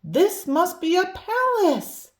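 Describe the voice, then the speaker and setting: A woman's voice: very high-pitched, wildly swooping pitch, slow, reverberant, pitched above the performer's own voice, full character voice. A woman voicing a small, innocent male toy bear who muses with childlike wonder in a storybook read-aloud.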